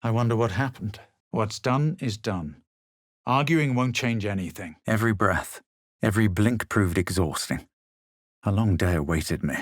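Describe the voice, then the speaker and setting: A man voicing a haughty, self-assured young fantasy adventurer for a video game, with measured, dramatic delivery. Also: fairly low pitch, no echo, clean studio sound, natural voice. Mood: brooding, measured. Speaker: player character in a fantasy role-playing game. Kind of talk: video game voice acting, literary narration and exclamations.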